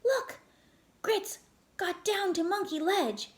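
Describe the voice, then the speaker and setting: A woman's voice: extremely high pitch, lively intonation, slow pace, voice pushed up, full character voice. A woman voicing an eager, worried boy rock climber in a children's storybook, exclaiming with urgency. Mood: urgent. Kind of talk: children's storybook read-aloud.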